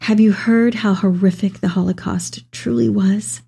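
A woman's voice: medium pitch, steady tone, moderate pace, vocal fry, mellow, husky. A woman playing an elderly, devout mother in a dramatic reading of letters to her son, with tender, heartfelt delivery. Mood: grieving, shaken. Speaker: dramatic reader. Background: music.

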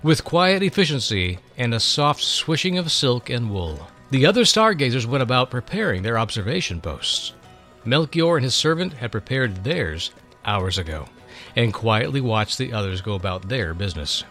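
A man's voice: mid-range voice, sing-song, moderate pace, pushed and bright, soft background music. A man narrating a scripted story in a dramatic reading style.

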